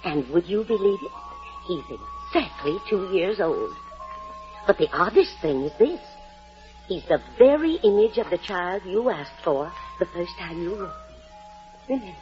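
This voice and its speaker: Fussy genteel matron, swooping lowish voice. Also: female voice, sing-song, normal speed. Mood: confiding. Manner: genteel, confiding, emphatic. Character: kindly, fussy, confiding orphanage matron. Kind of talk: 1940s American radio drama acting. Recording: muffled vintage 1940s radio broadcast, faint music.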